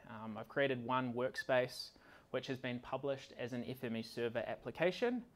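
A man gives a live technical demo to a meetup in an indoor venue. His pitch is mid-range for a man, and his delivery is casual, slightly halting as he operates the software while he talks. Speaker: conference speaker, software consultant. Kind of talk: informal technical demo presentation. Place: indoor meetup venue with large windows and projector screen.

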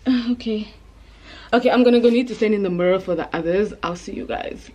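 A woman's voice: medium pitch, fast talker, darkish tone, highs cut off. A woman, chatting informally to camera and thinking aloud at home. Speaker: vlogger. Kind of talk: casual chat to camera. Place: indoors, bedroom and bathroom at home.

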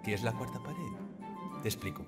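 A man acting out a theatrical avatar host in a virtual-reality teaser. He is secretive and playful, speaking in dramatic, conspiratorial phrases with pauses. Loud music plays under his voice. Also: lowish voice, close to an everyday voice. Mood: conspiratorial, inviting. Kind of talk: dramatic scripted teaser monologue.

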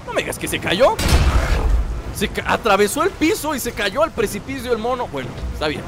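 Young Mexican gamer, stuffy from a cold, high and animated, jokey let's-play host. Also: male voice, brisk. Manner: playful, jokey, bursts into excited shouts. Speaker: gameplay commentator. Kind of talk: casual let's-play commentary. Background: game music.

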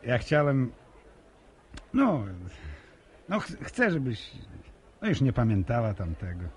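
An actor playing a bashful young village farmer in a radio drama, stammering and hesitant in colloquial rural speech. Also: male voice, mid-range voice, wildly swooping pitch, slow pace. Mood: bashful, coaxing. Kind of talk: naturalistic Polish radio drama acting. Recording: radio drama with faint background noise.

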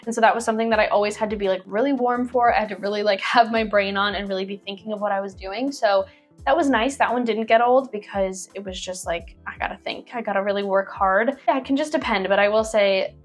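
A woman's voice: medium pitch, quick pace, soft background music. Chatty young woman answering questions. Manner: chatty, reads questions aloud then answers freely, frequent "like" and laughs. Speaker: cruise ship performer and vlogger. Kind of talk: casual Q&A to camera. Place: small bedroom indoors. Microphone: clip-on lapel mic.

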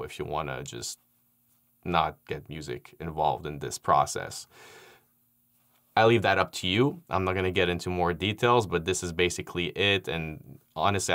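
A young man explaining audio editing software in a relaxed tutorial, with frequent fillers, recorded on a studio microphone in a dark studio. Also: deep voice. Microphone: studio microphone on stand.

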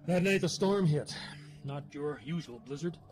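An actor playing a weathered man solemnly recounting a grim survival tale in halting, folksy, clipped sentences for a radio horror drama. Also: fairly high pitch, animated, over loud music, natural voice. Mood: ominous. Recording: roomy, clear background noise and ambience.

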